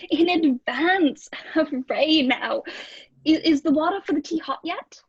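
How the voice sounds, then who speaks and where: Woman acting a timid, high-voiced stammering landlady. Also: takes it slowly, dry recording, highs cut off. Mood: excited, bustling. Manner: hesitant, stammering, deferential. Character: timid, eager-to-please married landlady in an old British lodging house. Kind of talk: old-time radio play acting.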